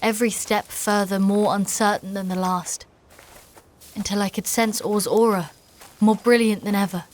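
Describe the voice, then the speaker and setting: A woman playing a stern, devout knight in a dark fantasy audio drama, speaking and narrating in a formal, measured, archaic way. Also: medium pitch, steady tone, close to an everyday voice. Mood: grave, determined. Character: battle-weary female knight, stern, devout, formal, solemn narrator of her quest.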